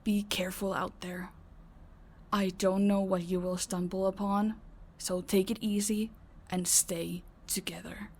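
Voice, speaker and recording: A woman playing a caring, calm vampire in an audio roleplay, speaking in a soft, gentle, concerned way. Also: mid-range voice, measured pace. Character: caring, calm female vampire who looks after her housemates.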